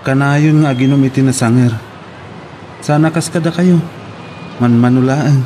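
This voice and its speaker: A man's voice: mid-range voice, steady tone, moderate pace, warm tone, a little hiss or hum, close to an everyday voice. A man acting a deferential employee in a radio drama, with an Ilocano accent. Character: deferential male employee serving his boss.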